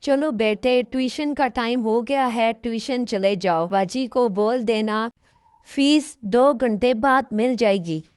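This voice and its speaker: A woman playing a worried, steadfast mother in an animated moral story, gentle and measured. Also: fairly high pitch, smooth, no echo, clean studio sound. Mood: coaxing.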